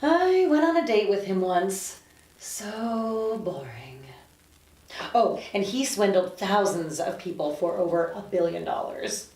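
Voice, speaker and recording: A breezy, gossipy neighbour woman played by a woman at a script table read chats in a casual way. Her voice sits at an ordinary pitch, picked up from a distance in a roomy, echoing space. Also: animated, slow, darkish tone. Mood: breezy, offhand. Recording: roomy echo, distant microphone.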